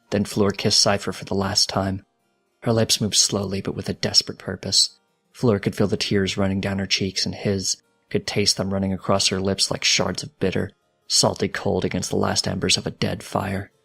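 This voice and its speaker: A man reading fiction aloud as a dramatic, solemn narrator with a deliberate delivery. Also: low-pitched, monotone, gravelly, a bit bright, breathy edge, faint music behind. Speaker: fanfiction narrator.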